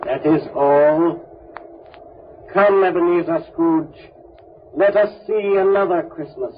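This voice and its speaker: An actor playing a solemn, probing ghostly spirit in a 1930s radio drama, deliberate and commanding. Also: male voice, on the high side. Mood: insistent. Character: solemn, probing male spirit guiding an old miser; not human, a ghostly spirit. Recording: thin, phone-like old radio recording, faint noise.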